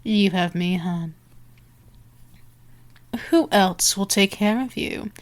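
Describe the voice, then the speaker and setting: A woman's voice: medium pitch, takes it slowly, close to an everyday voice. A woman playing a dominant female vampire for an ASMR roleplay, teasing, condescending and menacing, moving between murmurs and whispers. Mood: coldly possessive.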